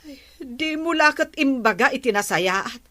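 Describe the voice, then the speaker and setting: Firm, bright-voiced village advisor. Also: female voice, high-pitched, expressive, measured pace, no room sound, exaggerated voice. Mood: reproving, reasoning. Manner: firm, admonishing. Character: sensible, reproving village woman advising the captain. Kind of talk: radio drama acting.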